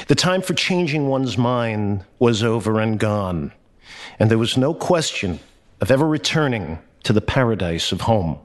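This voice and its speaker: A man reading a literary short story aloud in a measured, expressive and deliberate way. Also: mid-range voice. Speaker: story reader.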